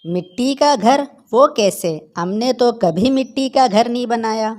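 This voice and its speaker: Slow-talking, loyal pigeon voiced by a woman. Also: on the high side, a little mellow, lightly acted. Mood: friendly. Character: loyal, protective male bird friend, a pigeon. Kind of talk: Hindi moral-story cartoon dubbing.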